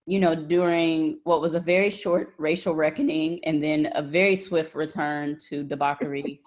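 Brief: woman host, quite low voice, easygoing chat with laughs. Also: steady tone, moderate pace, poor audio. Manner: chatty, laughing. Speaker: podcast host. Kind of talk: casual chat.

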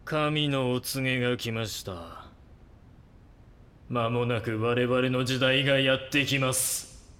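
An actor playing a messianic cult leader in an audio drama, speaking in solemn, pompous, preacher-like pronouncements. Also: male voice, medium pitch, slow, fairly bright tone, clean tone. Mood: proclaiming.

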